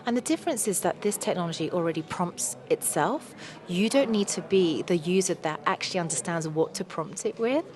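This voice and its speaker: A woman attorney with a hoarse voice, eagerly explaining her product in an interview at a trade show. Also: lowish voice, animated, a little mellow. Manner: fast, enthusiastic explaining with many fillers. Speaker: attorney and company representative. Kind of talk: conference booth interview. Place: busy trade show floor. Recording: crowd noise of a trade show. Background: crowd chatter.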